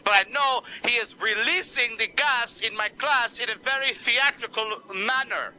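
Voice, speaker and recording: A man voicing an exasperated female Spanish teacher who swears, complains and uses broken grammar, with a low voice for a woman. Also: normal speed, bright, forceful tone, full character voice. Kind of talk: one-man comedy skit.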